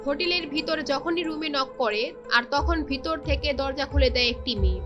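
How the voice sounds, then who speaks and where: A woman's voice: sits high, lively intonation, brisk, over music. A woman narrating a scripted story in a dramatic style.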